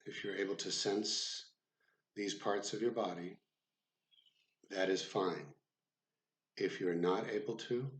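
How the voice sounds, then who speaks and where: A man guiding a meditation as an instructor, speaking in a measured, deliberate way with frequent pauses. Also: low voice, roomy sound. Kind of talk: guided body scan meditation instruction. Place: room with the door left open.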